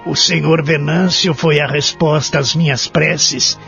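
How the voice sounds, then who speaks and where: Man playing a creaky, anxious old man. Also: on the high side, fairly even intonation, measured pace, pushed and bright, heavily acted. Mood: reflective. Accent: Brazilian. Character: anxious, remorseful 84-year-old man in a care home. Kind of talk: audio drama acting and first-person narration. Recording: dull muffled audio with background music.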